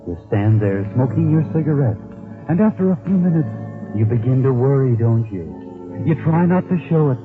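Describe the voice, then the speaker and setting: A man's voice: medium pitch, close to an everyday voice. A man acting as a sardonic, menacing narrator in a 1940s radio suspense drama, addressing his listener mockingly and dramatically. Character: sardonic, menacing male narrator taunting a criminal. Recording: tinny 1940s radio recording, music behind. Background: dramatic music.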